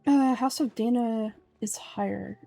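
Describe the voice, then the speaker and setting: Mellow-voiced young woman gaming casually. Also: fairly high pitch, a little slow, gravelly, faint music behind. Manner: slangy, playful. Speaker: game streamer doing voice-overs. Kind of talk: casual gameplay commentary.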